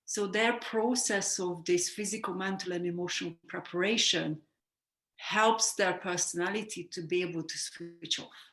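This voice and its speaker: A woman's voice: on the low side, steady tone, moderate pace, fairly bright tone. A woman, a sleep coach explaining ideas in an earnest, reflective way and sometimes correcting herself mid-sentence. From Hungary. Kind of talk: explanatory interview talk. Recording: roomy echo.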